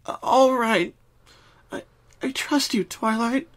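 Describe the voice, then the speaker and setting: Man voicing a timid, high-pitched girl pony, hushed. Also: slow pace, heavily acted. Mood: nervous trust. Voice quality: hushed, soft. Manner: hesitant, pleading. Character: timid, tearful young female pony, frightened and pleading.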